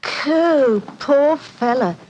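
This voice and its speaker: A brash Cockney woman of the streets in an old radio drama. She is cheeky and sharp-tongued, very high and swooping in pitch, with slight noise on the vintage recording. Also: expressive, drawn-out words, lo-fi sound, full character voice. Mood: chatty, knowing. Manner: brash, colloquial. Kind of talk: 1940s radio acting.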